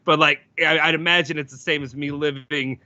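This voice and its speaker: A man hosting a casual sports podcast, loud-voiced and rambling, with lots of fillers and restarts. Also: a little high, fairly even intonation, a little fast, dry recording, highs cut off. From United States. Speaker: podcast host.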